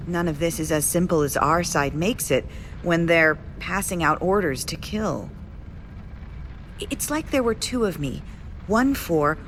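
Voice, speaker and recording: A bitter, resolute woman in an audio drama, speaking slowly in a slightly low voice. She is solemn and deliberate, with weighty pauses, over a clearly noisy rumble. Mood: troubled, confessing. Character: bitter, resolute woman, estranged lover turned zealot.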